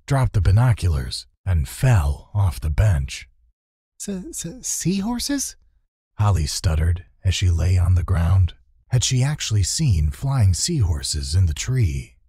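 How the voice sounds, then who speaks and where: A man's voice: low-pitched, mellow, husky, no echo, clean studio sound. A man narrating a children's fantasy audiobook in a suspenseful, theatrical style.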